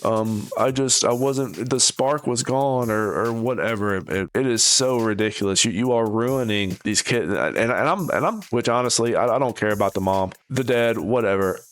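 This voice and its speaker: A man's voice: medium pitch, moderate pace, hardly any pauses. A man hosting a reaction video, talking casually in an opinionated, critical and rambling way. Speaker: video host, commentator. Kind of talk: casual reaction commentary to camera.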